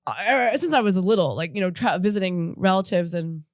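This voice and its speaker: Low-voiced woman, rambling storyteller. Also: animated, a little fast, no room sound, clean studio sound. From Japan. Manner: rambling, self-interrupting storyteller with frequent laughs. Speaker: comedian guest. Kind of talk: casual podcast conversation. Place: in-studio podcast.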